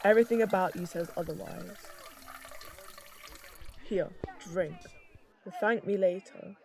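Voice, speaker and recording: A woman's voice: lowish voice, measured pace, darkish tone. A woman playing a dry-witted, sarcastic crew medic who looks after her team.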